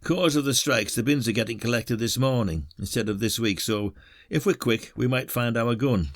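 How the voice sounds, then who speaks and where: A man's voice: mid-range voice, moderate pace, no room sound, clean studio sound, natural voice. A man with a British accent playing an ageing, friendly, stubborn retired detective in an audio drama, chatting in a chummy, mildly grumbling way. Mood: brisk, matter-of-fact.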